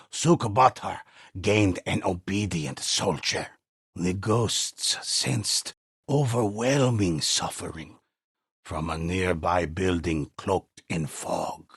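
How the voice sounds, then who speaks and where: A man playing a grave old storyteller in a video game, narrating dark war tales in an ominous, measured, theatrical way. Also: low-pitched, no room sound, studio quality, heavily acted. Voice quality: husky, gravelly. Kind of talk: scripted dramatic storytelling narration.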